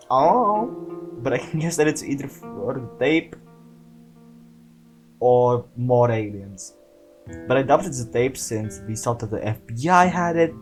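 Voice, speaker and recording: A man's voice: mid-range voice, rough recording. A man giving casual, informal video commentary in a slangy, sweary way, as a creator talking through an analysis.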